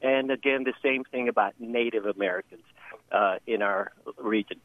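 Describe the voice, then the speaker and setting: A man's voice: medium pitch, moderate pace, gravelly, no room sound. A man calling in to a radio show, polite and effusive in his praise. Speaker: caller. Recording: tinny phone line.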